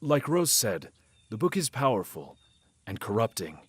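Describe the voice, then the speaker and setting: An earnest, fatherly guardian of a magic book played by a man in a fantasy audio drama. His pitch moves in a lively way, and he explains with measured care and small hesitations, recorded dry and close. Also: slightly rough, no room sound. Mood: solemn.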